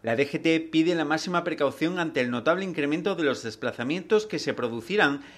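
A man news anchor reading scripted news in a steady, formal way. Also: a little high, a little fast.

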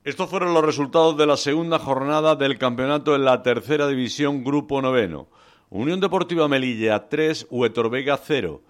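Sports news guy reading results, steady and formal. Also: mid-range voice, normal speed.

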